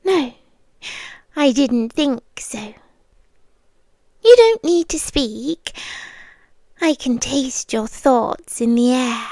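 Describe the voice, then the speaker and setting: British woman doing a seductive yandere vampire gf asmr, high breathy voice, whispery, super close and dry, intimate. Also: lively intonation, dark tone, no room sound, heavily acted. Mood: possessive, seductive. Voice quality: breathy, often dropping into whispers. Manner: slow, intimate, lingering delivery. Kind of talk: ASMR roleplay.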